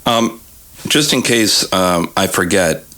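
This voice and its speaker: A man's voice: low voice, animated, takes it slowly, sharp tone. A man hosting a podcast, asking questions in a casual, chatty interview style.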